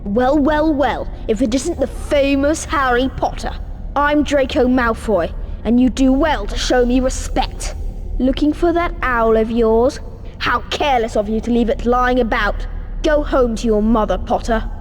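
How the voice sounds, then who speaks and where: A man's voice: extremely high pitch, unhurried. A man voicing a snobbish schoolboy bully in a video game, with a haughty, mocking drawl. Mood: mocking contempt. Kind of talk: video game cutscene acting. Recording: reverberant hall, faint background noise.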